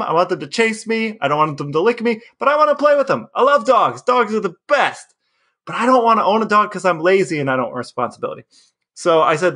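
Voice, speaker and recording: A man's voice: sits high, pushed and bright. A man co-hosting a podcast, chatting casually and telling personal stories. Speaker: podcast host. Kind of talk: casual conversational podcast talk.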